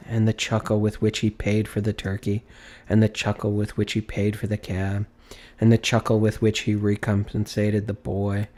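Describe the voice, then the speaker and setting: A man's voice: fairly low pitch, darkish tone. A man reading a classic story aloud as an audiobook narrator, in a dramatic storybook style.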